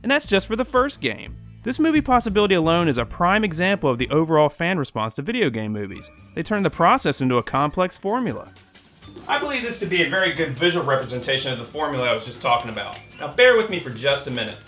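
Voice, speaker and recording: A man's voice: a little high, sing-song, fast, a bit bright, faint music behind. A man in his thirties hosting a scripted video essay as a critic, sardonic and emphatic in his opinions. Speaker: video game movie critic, show host. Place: small furnished bedroom, indoors.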